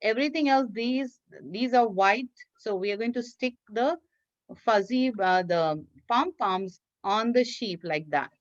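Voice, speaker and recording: Woman, children's craft teacher. Unhurried, encouraging, repeats simple steps. Dull, dry online-class sound. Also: fairly high pitch, dry recording. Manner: encouraging, praising, repeating instructions. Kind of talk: instructional talk to young children, simple step-by-step.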